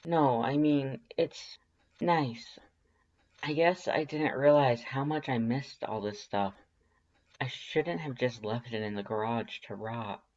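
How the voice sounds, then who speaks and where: A man's voice: mid-range voice, takes it slowly, darkish tone, dull audio. A man playing an earnest, friendly young human with hesitant, emotional delivery in a fan fiction audiobook. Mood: wistful, hesitant.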